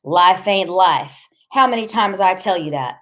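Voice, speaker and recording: A woman's voice: lowish voice, lively intonation, a little slow, fairly bright tone. A woman narrator voicing a bossy, menacing tough man in an audiobook story, curt and slangy. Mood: irritated. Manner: curt, streetwise, slangy, commanding.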